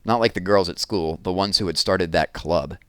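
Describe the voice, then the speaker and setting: A man narrating a children's book aloud with expressive storytelling. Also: low voice, normal speed, smooth, no room sound, studio quality.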